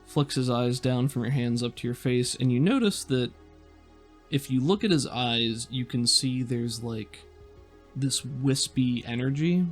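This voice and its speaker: A man's voice: medium pitch, a little slow, soft background music. A man working as game master, narrating a tabletop game in a descriptive, conversational way as he thinks aloud.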